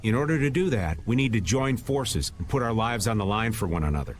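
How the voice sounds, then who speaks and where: A man's voice: fairly low pitch, expressive, a little fast, a little hiss or hum, lightly acted. A man voicing a worldly, knowledgeable adventurer in a video game, explaining things in an easygoing way. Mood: casual, informative. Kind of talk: video game voice acting, exposition.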